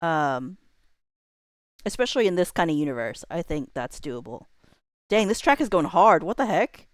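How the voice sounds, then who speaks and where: A woman with a fairly low, very clean and smooth voice hosts a casual livestream. She thinks aloud in a laid-back, slangy way, as if half talking to herself. The sound is close and dry, with very little room in it. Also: big pitch swings, moderate pace, dry recording. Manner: informal, laid-back, slangy, muttering to self while working. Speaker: livestreamer hosting a chatbot roleplay stream.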